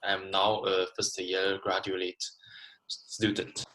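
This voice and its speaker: A man's voice: sits low, monotone, slow pace, sharp tone, a little hiss or hum, rough recording. A man in his twenties from China introducing himself carefully as a graduate composition student. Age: 20s. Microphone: video call.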